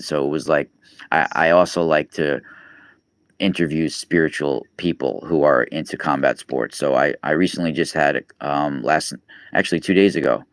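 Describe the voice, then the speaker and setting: A man's voice: extremely low pitch, dry recording, clean studio sound. A man, chatting casually on a live stream, rambling with many fillers and restarts. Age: 40s. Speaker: podcast host and radio guest. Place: East Coast, New York.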